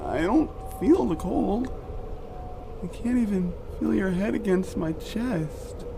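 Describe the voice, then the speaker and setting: A badly hurt, devoted young man, speaks in a high, strained register. He is slow and halting, weak and close to tears as the cold takes him. Loud ambient noise surrounds the voice. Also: expressive, vocal fry, heavily acted. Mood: fading, resigned. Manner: halting, broken phrases, tearful. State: weak, gasping, crying. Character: badly injured young man, devoted, desperate, freezing to death. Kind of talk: audio drama acting. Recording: noisy, loud wind-like ambience behind.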